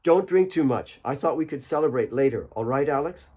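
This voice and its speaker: A man's voice: medium pitch, lively intonation, fairly quick, warm tone, natural voice. A man voicing a petite, spirited young woman in an audiobook, teasing and direct in manner.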